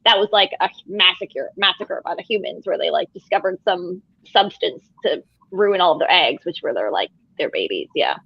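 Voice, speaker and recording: A woman's voice: animated, normal speed, creaky, hardly any pauses, breathy edge, no echo. A young woman co-hosting a live book discussion, chatty and full of "like" and restarts. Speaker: book club co-host. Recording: dull low-fi, dry sound.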